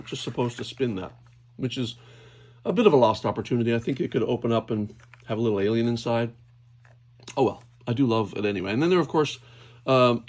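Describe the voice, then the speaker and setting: A man hosting a casual, unscripted toy unboxing, thinking aloud hesitantly as he handles things. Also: medium pitch. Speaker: toy unboxing video host. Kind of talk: casual unscripted hands-on commentary. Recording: clear background noise, clicking handling sounds.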